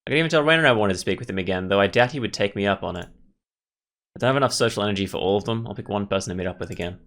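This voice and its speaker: A man's voice: fairly low pitch, racing, a bit bright. A man hosting a visual novel playthrough, reading aloud and commenting in a casual, reflective, tentative way. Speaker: gaming video host. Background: faint music.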